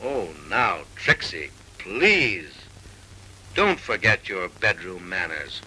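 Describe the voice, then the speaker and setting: A man's voice: low voice, sing-song, slow pace, pushed and bright, a little hiss or hum, rough recording. A man narrating a 1930s comic film with mock-serious, arch, theatrical delivery on an old film soundtrack.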